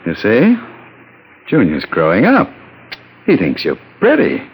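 An actor playing a cold, commanding crook who coolly coaxes and menaces in an old-time radio drama. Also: male voice, fairly low pitch, huge pitch swings, measured pace, husky, lo-fi sound, full character voice. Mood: smug, coaxing. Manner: domineering, coolly coaxing. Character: cold, commanding, menacing male crook, smooth-talking boss.

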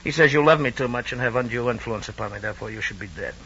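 An actor playing a decadent, moody young Roman emperor in florid, theatrical old radio drama style. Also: male voice, mid-range voice, fast, a bit bright, a little hiss or hum, close to an everyday voice. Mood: blunt, taunting. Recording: dull old radio recording, music behind.

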